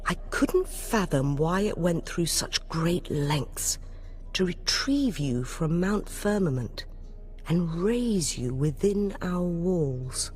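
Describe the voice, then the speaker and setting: Game role: dignified female elder, caring but grave, unhurried formal delivery, music underneath. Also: lowish voice, animated, a little mellow. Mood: wistful. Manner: deliberate, formal, reflective. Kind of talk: scripted video game voice acting. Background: music behind the voice.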